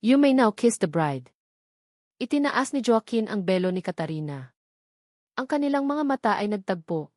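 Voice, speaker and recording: A woman narrating a scripted romance audio drama in an even storytelling manner. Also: medium pitch, dry recording, studio quality.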